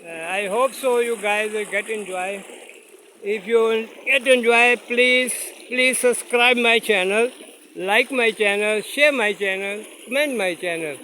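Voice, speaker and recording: A man's voice: sits high, pushed and bright, slight background noise. Urdu-speaking man vlogging by a stream. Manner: halting, simple English with many fillers. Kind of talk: casual vlog narration. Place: outdoors by a mountain stream. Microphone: phone camera, no separate mic.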